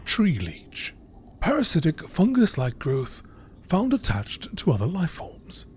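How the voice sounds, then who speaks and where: High-pitched male documentary narrator, lively intonation. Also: unhurried, a little hiss or hum. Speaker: narrator reading game text aloud. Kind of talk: scripted narration, nature documentary style.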